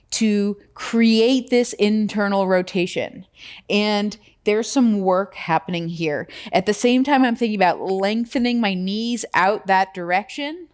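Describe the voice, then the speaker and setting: A woman's voice: mid-range voice, a little mellow. A woman instructor talking through a guided flexibility workout in a conversational, playful way, with encouraging cues and whimsical wording.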